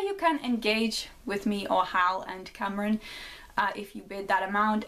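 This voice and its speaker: A young Geordie woman talking straight to her viewers in a loose, chatty way, full of ums and likes. She laughs at her own slips and then settles into reading a short story aloud at a middle pitch. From North East England. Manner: chatty, laughs at herself, says um and like. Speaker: vlogger.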